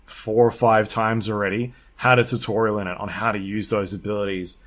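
Low-voiced man chatting. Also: flat delivery, brisk, a bit bright. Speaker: podcast co-host discussing video games. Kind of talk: casual unscripted chat.

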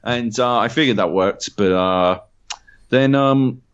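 An Australian man, mid-pitched, telling a story on a podcast in a loose, animated way, swearing freely and pausing on fillers. The audio is slightly dull and lo-fi. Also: clean tone. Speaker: podcast co-host. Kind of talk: casual podcast chat.

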